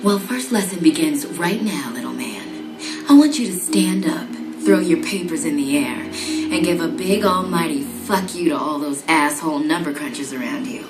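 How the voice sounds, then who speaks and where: A woman's voice: deep voice, moderate pace. A woman playing a brash, foul-mouthed assassin mentor who talks in commanding, taunting slang in a motion comic. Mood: taunting, challenging. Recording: roomy sound over clear music.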